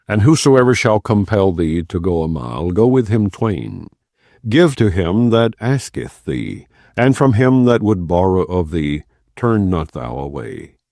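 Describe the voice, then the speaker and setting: A man reads scripture aloud in a measured, solemn cadence, his voice sitting very low. His delivery swings widely in pitch from line to line, rising and falling. The sound is close and completely dry, with no room around it. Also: dark tone, no room sound. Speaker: Bible reader. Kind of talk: scripted scripture reading, non-dramatized.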